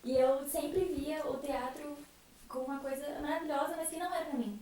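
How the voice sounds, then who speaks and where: A woman's voice: sits high, steady tone, brisk, a little mellow. A shy, nervous young Brazilian woman sharing her own experience casually in a group. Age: young adult. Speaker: student member of a theatre group. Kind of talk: casual personal testimony in a group. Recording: very echoey room, distant mic, faint noise.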